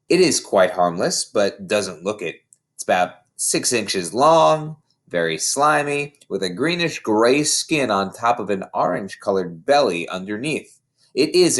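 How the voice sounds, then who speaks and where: A man reading a children's story aloud in an expressive way, like an audiobook narrator. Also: on the low side. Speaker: story reader.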